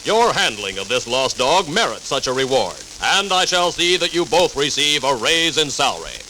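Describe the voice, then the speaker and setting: A man's voice: medium pitch, sing-song, normal speed, music in the background, lightly acted, low-quality recording. A man playing a pompous, benevolent small-town mayor who speaks formally on a vintage children's cartoon record. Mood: official, approving. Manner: formal, orotund. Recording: hissy vintage 78rpm record.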